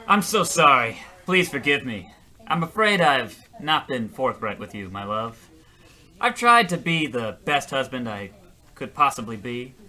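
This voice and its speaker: An actor playing a self-dramatizing, attention-hungry loser in a comic radio play, rambling and melodramatic. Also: male voice, medium pitch, sharp tone, exaggerated voice. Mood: melodramatic, pleading. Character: attention-hungry, self-dramatizing male loser telling his story in a diner. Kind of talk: comic radio play acting in old radio show vein.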